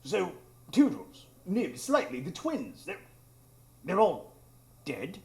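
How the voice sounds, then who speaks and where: A man playing a flustered, incredulous older English gentleman doctor in a live radio drama, blustering and stammering. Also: sits high, huge pitch swings, takes it slowly, echoey room, exaggerated voice. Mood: bewildered.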